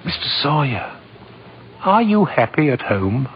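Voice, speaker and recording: Jolly old gentleman, very animated voice. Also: fairly high pitch, a little slow, a crowd in the background. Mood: polite. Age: older. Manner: jolly, gentle, chuckling, coaxing. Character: kindly old man who says he is Santa Claus. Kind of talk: 1940s radio acting. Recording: dull, noisy old radio recording.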